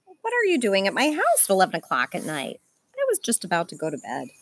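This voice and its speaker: A woman acts out an eerie elderly schoolmistress in an audio drama, hospitable and theatrical. Her pitch leaps dramatically up and down as she stretches her words. There is clear noise on the recording. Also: huge pitch swings, fast. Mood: put out. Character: creepy-sounding old lady schoolteacher, hospitable. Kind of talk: amateur audio drama acting.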